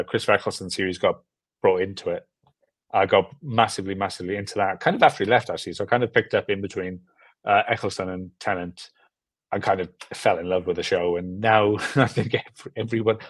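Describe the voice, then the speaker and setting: A young South Welsh man with a fairly low voice in casual conversation. He is keen and emphatic, doubling up his words and phrases. The sound is dry, with very little room on it. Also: steady tone, fairly quick, no echo. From South Wales. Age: 20s or 30s. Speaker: film director, interview guest. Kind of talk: casual chat. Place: small room at home, video call. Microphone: video call, earbuds.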